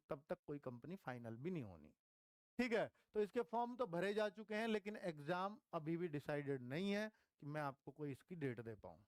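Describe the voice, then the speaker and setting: A man's voice: on the high side, no room sound. A man, speaking as an exam coach. He explains in an informal, conversational lecture style and restates key points for emphasis. The sound is dry and close, with very little room in it. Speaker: exam coach teaching online.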